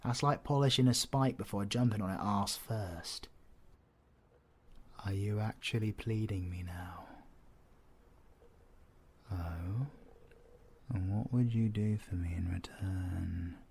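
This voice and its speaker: A British man playing a cocky, menacing, flirtatious supervillain in an audio roleplay, husky and sardonic, often dropping to breathy whispers. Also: lowish voice, slow, pauses often, a little mellow, a little hiss or hum. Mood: mocking. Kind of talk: scripted audio roleplay, ASMR drama.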